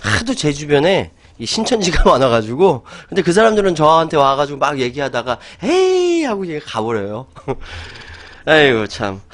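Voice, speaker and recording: A middle-aged man hosts a talk show, arguing his opinions in an animated way and laughing often. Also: on the high side, moderate pace. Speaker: host.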